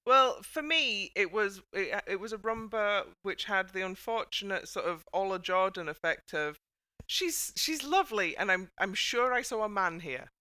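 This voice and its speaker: A British woman hosts a casual panel chat in a very bright, sharp voice. Her delivery is wry and the sound is dry and close. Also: mid-range voice, lively intonation, normal speed, dry recording. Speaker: podcast host.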